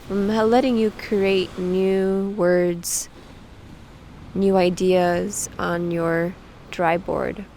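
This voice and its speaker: A woman leading a guided meditation, giving soothing instructions with frequent pauses. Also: mid-range voice, creaky, darkish tone, slight background noise. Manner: slow, pausing, soothing instruction.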